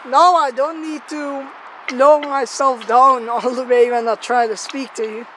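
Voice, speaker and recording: A woman's voice: high-pitched, steady tone, measured pace, a bit bright. A woman chatting casually and rambling as she presents a gear test outdoors, informal, sweary and self-deprecating, in a noisy location recording. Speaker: gear tester presenting a megaphone test video. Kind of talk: casual rambling vlog chat. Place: outdoors in an open area near a road.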